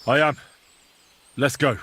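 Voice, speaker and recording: An actor playing an eager, obedient young squire in a medieval video game. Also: male voice, medium pitch, fairly even intonation, slow pace, bright, forceful tone, slightly rough, heavily acted. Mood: keen. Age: young adult. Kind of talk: video game cutscene acting.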